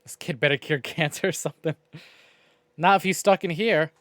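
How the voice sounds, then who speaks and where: A man's voice: on the high side, moderate pace, a bit bright, no echo, studio quality. A male streamer reading a visual novel aloud, with playful, wry asides. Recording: game music behind the voice. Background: clear game music.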